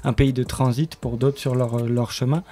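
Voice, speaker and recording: Mellow, hesitant man in interview. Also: medium pitch, flat delivery, a little hiss or hum. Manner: hesitant, halting delivery. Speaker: studio member presenting an audio fiction series, interview guest. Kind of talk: spontaneous radio interview.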